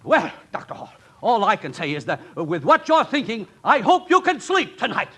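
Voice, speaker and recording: High-pitched, sputtering, indignant man. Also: huge pitch swings, moderate pace, bright, forceful tone, full character voice. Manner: stammering, blustering, repeats himself. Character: flustered, indignant, high-strung male college official, sputtering. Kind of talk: 1950s radio sitcom acting. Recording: noisy old 1950s radio recording.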